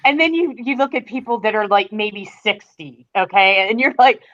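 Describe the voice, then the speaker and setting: A woman's voice: mid-range voice, animated, moderate pace, a bit bright. A woman in her fifties with a mid-range voice trades wry, dry remarks in a casual podcast chat. Her audio sounds dull and lo-fi, like a remote feed. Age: 50s.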